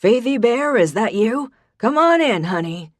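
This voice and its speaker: A woman's voice: on the high side, animated, no room sound, voice pushed up. Teasing old grandpa, stubborn and sly, played by a woman narrator, in a dry, close read. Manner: defiant, impish, drawn-out words. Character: elderly grandfather, teasing and contrary.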